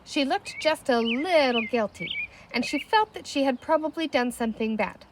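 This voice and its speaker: A woman's voice: sits high, expressive, normal speed, background noise. A woman narrating a children's storybook as a reader, in scripted narration.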